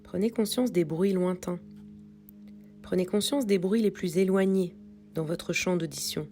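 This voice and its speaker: A woman reading a guided yoga nidra meditation in a calm, soothing, unhurried way with pauses. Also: fairly low pitch, soft background music. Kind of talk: guided meditation read from a script.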